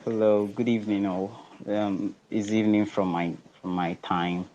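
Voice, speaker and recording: Muffled online audio with faint noise carries a man's low voice. He is a guest giving polite greetings, and his delivery stays very flat. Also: normal speed. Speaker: guest coming up to speak.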